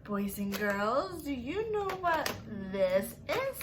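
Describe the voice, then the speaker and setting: A woman's voice: sits high, big pitch swings, takes it slowly, background noise. A young woman leading a lesson for small children in a sing-song, exaggerated manner, asking questions and giving praise. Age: young adult. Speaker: children's story time teacher. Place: small furnished room at home.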